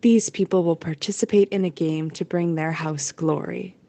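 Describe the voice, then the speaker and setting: A woman's voice: lowish voice, fairly even intonation, moderate pace, mellow, no echo. A woman narrating a scripted audio drama as its storyteller.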